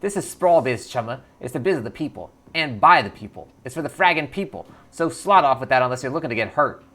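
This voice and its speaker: A man's voice: medium pitch, expressive, fast talker, pushed and bright. A man voicing a twitchy orc drug dealer in a game, with repetitive, slangy sales patter. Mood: menacing.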